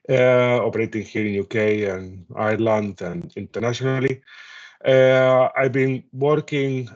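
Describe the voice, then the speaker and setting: A man from Spain with a Spanish accent, introducing himself as a panelist, speaking haltingly with many fillers. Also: fairly low pitch, darkish tone, highs cut off. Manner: halting, many fillers and restarts, occasional laugh.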